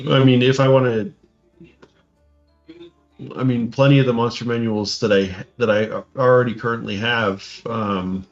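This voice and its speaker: Male podcast co-host, casual and halting, repeats phrases; dull lo-fi audio, music underneath. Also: lowish voice. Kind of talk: casual chat while reading through game rules.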